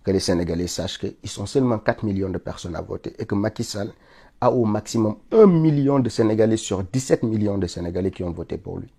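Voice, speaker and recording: Man with a cold, gravel in a low dark voice, insistent live political rant, repeats himself and builds to outrage. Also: expressive, fairly quick. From Senegal. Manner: insistent, repetitive, rising to indignant peaks. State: stuffy with a cold. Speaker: political commentator streaming live.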